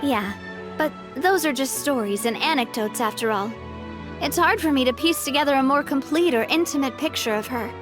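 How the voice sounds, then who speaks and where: A woman playing a brave, wistful young leader in a video game, speaking in an expressive, measured way. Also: high-pitched, close to an everyday voice. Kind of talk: scripted game dialogue. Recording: music behind the voice. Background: game music.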